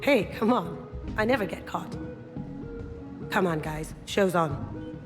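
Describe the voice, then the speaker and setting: A cocky thief over music. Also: female voice, fairly low pitch, lively intonation, slow pace. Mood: cocky, playful. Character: young female thief, cheeky, confident, defiant. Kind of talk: video game dialogue acting. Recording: music behind, long reverb.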